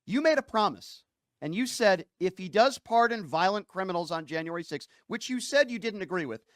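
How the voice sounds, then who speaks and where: A man's voice: high-pitched, no echo, studio quality. A man hosting a political call-in show, arguing in an indignant, emphatic manner and firing pointed rhetorical questions. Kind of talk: heated political argument.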